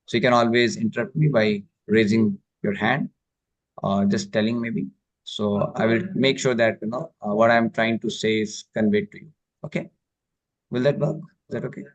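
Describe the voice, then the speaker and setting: A man's voice: lowish voice, steady tone, normal speed, dull audio. A man from India speaks with an Indian accent, teaching a spiritual class online. He explains things patiently, with many fillers and frequent checks that his listeners follow. The sound has a video call quality. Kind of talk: online lecture.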